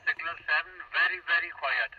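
Man, radio voice, fairly high pitch, dull distant sound, rambling casual chat. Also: brisk, breathy edge. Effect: radio transmission. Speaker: amateur radio operator. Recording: muffled, noisy radio heard through a speaker.

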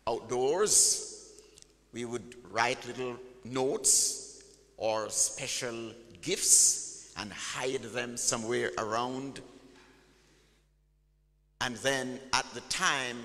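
Male preacher, bright voice, slow deliberate delivery, long church-hall echo. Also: mid-range voice. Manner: emphatic call-and-response preaching, drawing out key words. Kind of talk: sermon.

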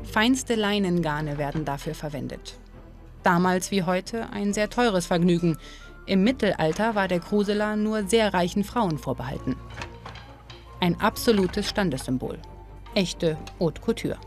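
A woman's voice: fairly low pitch, measured pace. Woman narrating a documentary over music. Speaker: documentary presenter.

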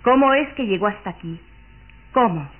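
A woman's voice: mid-range voice, expressive, normal speed, close to an everyday voice. Actress as anxious young noblewoman, thin radio sound. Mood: indignant. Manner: formal, emphatic questioning. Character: beautiful young duke's daughter, anxious and insistent. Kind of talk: vintage radio drama acting. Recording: phone-like vintage radio recording, music behind.

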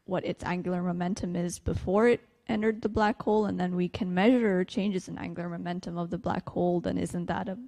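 A woman's voice: lowish voice, fairly even intonation, fairly quick, hardly any pauses, warm tone. A woman physicist answering questions on a panel in a lecture hall, thoughtful with many fillers.

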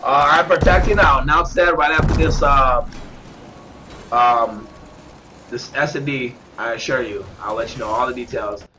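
A man with a stuffed-up nose, commentating live at a gaming tournament, getting excitable on big kills. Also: medium pitch, normal speed. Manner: excitable, shout-outs to viewers, bursts of hype on kills. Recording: noisy with game gunfire behind.

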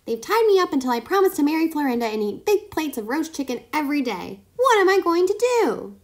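A woman voicing a small, excitable male guinea pig trickster in a storybook reading, speaking high and breathless. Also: quick pace. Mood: pleading, excited.

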